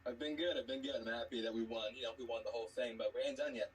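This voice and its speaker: A man with an average-pitched voice banters casually. He uses short, repetitive phrases. The video call sound is distant and somewhat noisy. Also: steady tone, fast talker. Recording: distant mic with background noise.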